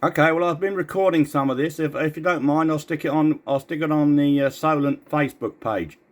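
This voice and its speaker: A man's voice: on the high side, brisk. A man having a casual radio chat as a CB operator.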